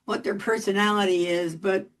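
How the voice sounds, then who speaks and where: A woman's voice: mid-range voice, fairly even intonation, normal speed, low-quality recording. A woman in her eighties hosting an interview, chatty and friendly, laughing often. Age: 80s. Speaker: podcast host. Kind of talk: casual interview talk.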